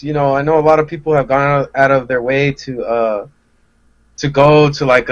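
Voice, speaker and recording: A man's voice: medium pitch, a bit bright, rough recording. A man with a mid-range voice speaks as a guest on a live podcast. His talk is informal and earnest, like casual conversation.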